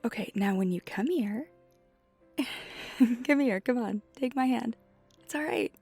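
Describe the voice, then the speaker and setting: Woman playing a breathy, unhurried flirty vampire. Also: a little high, animated, exaggerated voice. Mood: encouraging. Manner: soft-spoken, gently reassuring, often breathy near-whisper. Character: flirty friendly female vampire teaching ice skating. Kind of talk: ASMR roleplay, one side of a conversation. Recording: music behind the voice.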